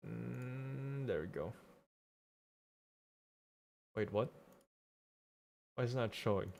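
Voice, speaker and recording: A man's voice: mid-range voice, slow, creaky, a little mellow. A man streaming a video game with casual, slangy, sweary commentary.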